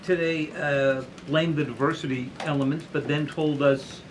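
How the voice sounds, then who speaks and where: A man working as a reporter, putting a careful, halting but persistent question at a press conference. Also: fairly high pitch, steady tone, low-quality recording. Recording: clear background room noise.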